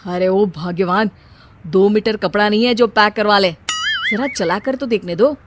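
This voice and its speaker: A man's voice: high-pitched, normal speed, a bit bright, soft background music. A young Indian husband in a comic family tale, played by a male voice actor. He is sarcastic and forever wisecracking. His delivery is teasing, with an exasperated edge. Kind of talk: Hindi family comedy story acting.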